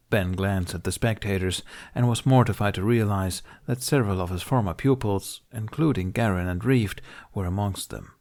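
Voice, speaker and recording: A man's voice: fairly low pitch, darkish tone. A man reading a scripted story as an audiobook narrator, in a dramatic narrative style.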